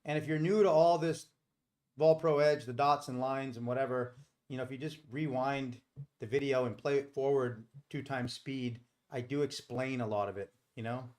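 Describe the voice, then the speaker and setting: A man's voice: medium pitch, fairly even intonation, moderate pace, darkish tone. A middle-aged man hosting a live stream, talking casually and explaining things in a rambling, informal way. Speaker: live stream host and trader.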